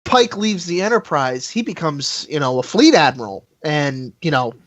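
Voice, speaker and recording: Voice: mid-range voice, big pitch swings, moderate pace, no echo. A podcast panelist in a casual fan discussion, explaining opinions in a conversational way.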